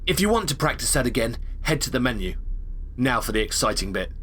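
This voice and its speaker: An actor playing a brisk special agent who instructs a recruit in a dry, understated way, in a sci-fi game-style audio drama. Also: male voice, mid-range voice, very animated, a bit bright, slightly rough. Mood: dry, explanatory. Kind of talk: scripted audio drama parodying video game tutorials.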